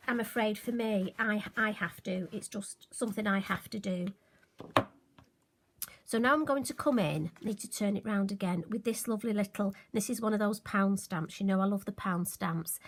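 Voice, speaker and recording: A friendly woman talks her viewers through a card-making demonstration in a casual, self-deprecating way. Her voice is slightly hoarse and occasionally croaky, sitting at an ordinary middle pitch. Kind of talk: casual crafting tutorial.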